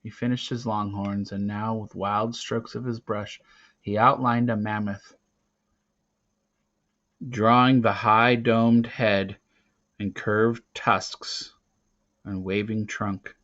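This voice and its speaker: Slow, low-voiced man narrating a story. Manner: dramatic, expressive delivery. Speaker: reader of a children's novel. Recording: dull, lo-fi recording.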